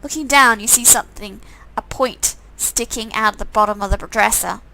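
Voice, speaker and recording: A woman's voice: a little high, normal speed, pushed and bright, poor audio. A woman reading a visual novel game aloud, with sarcastic, amused asides in a casual style. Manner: sarcastic, amused commenting between read lines.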